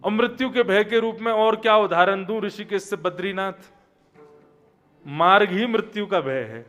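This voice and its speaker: A young male preacher with a high, bright voice delivers a devotional sermon. He tells stories in a lively way, dropping low and soft before rising to loud, emphatic calls. Faint instrumental music plays softly behind him. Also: normal speed. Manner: storytelling, swings from quiet to loud emphatic exclamations. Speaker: Bhagavat katha preacher. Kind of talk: devotional sermon with chanted Sanskrit verses.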